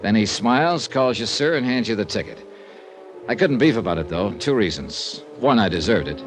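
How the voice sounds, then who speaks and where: A man's voice: on the low side, lively intonation, normal speed, over music, close to an everyday voice. An actor playing a cocky, wisecracking insurance investigator in a 1950s American radio drama, narrating his case and trading dialogue. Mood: sardonic narration. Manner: wry, wisecracking. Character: wry, cocky freelance insurance investigator, adult man. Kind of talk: 1950s American radio detective acting, first-person narration.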